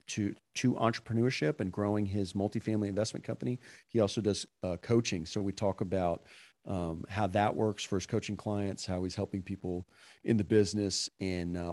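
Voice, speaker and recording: A man's voice: low voice, a little mellow, dry recording. A man hosting a real estate podcast, talking casually with frequent fillers and restarts. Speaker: podcast host. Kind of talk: casual interview chat.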